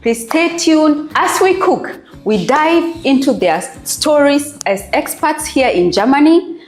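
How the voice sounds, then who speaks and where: A woman's voice: sits high, animated, slow, roomy sound. A woman hosting a cooking talk show, welcoming guests and asking questions, often chiming in with affirmations. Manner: frequent affirming interjections. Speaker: host. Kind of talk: show host interview.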